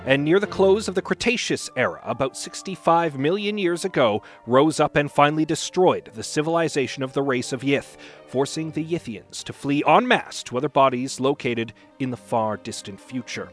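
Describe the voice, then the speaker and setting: A man's voice: mid-range voice, lively intonation, normal speed, soft background music. A man reading prepared scripted material aloud as a podcast presenter. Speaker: podcast host, ad reader and dramatic reader.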